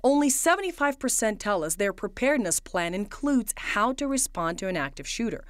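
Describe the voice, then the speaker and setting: A woman's voice: medium pitch, big pitch swings, a little fast, very noisy. A woman news reporter delivering scripted narration for a broadcast story.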